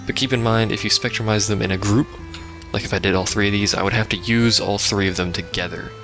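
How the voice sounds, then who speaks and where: A man with a lowish, very creaky voice talks casually through a video game. He rambles along with dry, sarcastic asides while game music plays clearly behind him. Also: steady tone, quick pace. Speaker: let's play host. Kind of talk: casual gameplay commentary. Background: game music and sounds.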